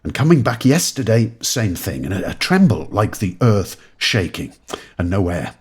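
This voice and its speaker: An actor playing a rattled, wry man in an audio drama, musing haltingly and swearing freely. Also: fairly low pitch, big pitch swings, slightly rough, heavily acted. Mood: awed, unsettled. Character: rattled man who has crossed between eras, wry, sweary. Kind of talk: modern audio drama monologue.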